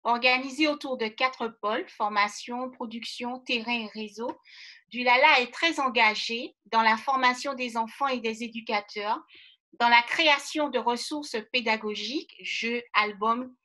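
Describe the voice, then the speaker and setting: A middle-aged woman on a video call from a room by a window, giving a formal prepared speech in measured, rhetorical phrasing. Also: fairly high pitch, sharp tone. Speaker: guest speaker. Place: indoor room by a bright window. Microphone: webcam on a video call.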